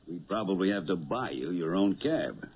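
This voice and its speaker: An actor playing a genial, shrewd police lieutenant in a 1970s radio drama, on a tinny, hissy recording. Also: male voice, low-pitched, lively intonation, normal speed, breathy edge, exaggerated voice. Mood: genial, persuasive.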